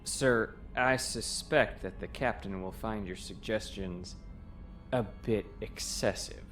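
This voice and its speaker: Man playing a low-voiced, dutiful young ensign. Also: slow pace, fairly bright tone. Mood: polite, tactful. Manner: polite, deferential. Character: dutiful, tactful young male ensign aide. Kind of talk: sci-fi audio comic dub. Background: prominent music.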